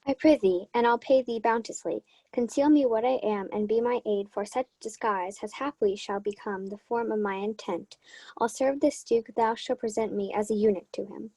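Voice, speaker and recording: A shipwrecked young noblewoman in a radio Shakespeare play, acted by a woman. Her voice sits fairly high, with a mellow, husky grain. She is grieving yet resolute, speaking in verse-like period language. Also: normal speed, low-quality recording. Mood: resolved. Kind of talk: student Shakespeare radio acting.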